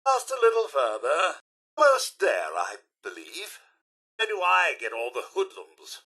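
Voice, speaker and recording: A prim British robot butler from a video game, high-pitched and wildly swooping in melody. The voice is bright and forceful, and it carries itself with pompous politeness and a sardonic streak. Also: male voice, wildly swooping pitch, unhurried, breathy edge, exaggerated voice. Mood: dutiful, musing. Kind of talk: theatrical video game character lines.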